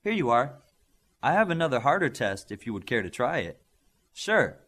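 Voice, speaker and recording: Eager young hero, played by a man, casual, boyish, animated pitch, husky edge. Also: normal speed. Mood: eager, curious. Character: eager young male superhero, modest and curious.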